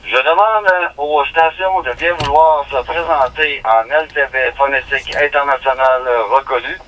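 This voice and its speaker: Man, Quebec French accent, halting courteous net controller, dull radio audio, clear background noise. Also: a little high, fairly even intonation, fairly bright tone. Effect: digital ham radio transmission. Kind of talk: formal radio net announcements. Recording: muffled radio transmission, clear vehicle noise.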